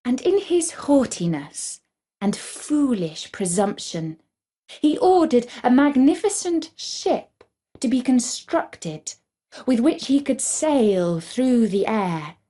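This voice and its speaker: A woman reading a scripted fairy tale for children in a measured, deliberate storybook manner. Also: on the high side, big pitch swings, warm tone.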